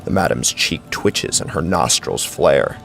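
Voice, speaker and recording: A man's voice: rumbling low, expressive, a little slow, creaky. A man narrating a scripted horror story as a brooding, dramatic storyteller. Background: faint music.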